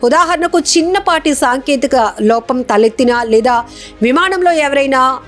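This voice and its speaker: A woman's voice: sits high, animated, moderate pace, a bit bright. A woman presenting a scripted news explainer as a narrator. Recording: music bed behind the voice.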